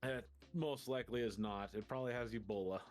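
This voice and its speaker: A man who is a regular on a podcast, chatting casually with deadpan jokes. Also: mid-range voice, brisk, soft background music. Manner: deadpan joking. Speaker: show regular. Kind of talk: casual chat.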